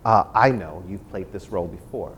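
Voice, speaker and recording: A man's voice: moderate pace, fairly bright tone. A man hosting a panel discussion on a theater stage in a conversational interviewing style. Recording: clear background noise with low rumble.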